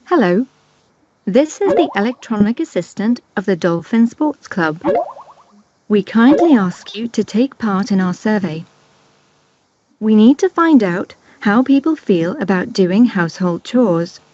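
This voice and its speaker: A woman announcer reading an exam listening recording slowly and evenly. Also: mid-range voice, dry recording. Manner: slow, clear, even reading. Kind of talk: slow scripted exam recording. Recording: dull dry lo-fi playback.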